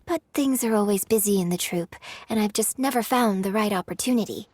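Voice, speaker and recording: A woman's voice: mid-range voice, fairly quick, a little mellow, no echo, close to an everyday voice. A woman playing a refined, courteous young opera performer in a video game, speaking with polite, formal, elegant phrasing. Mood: curious, polite. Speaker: game character voice. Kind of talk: scripted video game dialogue.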